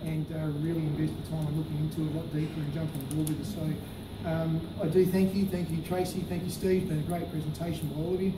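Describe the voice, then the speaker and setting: A man speaking to a packed meeting room, loud and friendly, rambling with lots of fillers. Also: a little high, flat delivery, fast talker. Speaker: business presenter. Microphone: handheld microphone held away. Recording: echoey room, distant mic, noisy.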